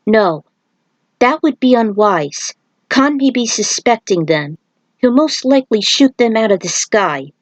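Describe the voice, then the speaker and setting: Woman voicing an earnest, measured shaman warrior. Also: a little high, animated, no echo. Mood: grave. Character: earnest male shaman warrior, patient mentor.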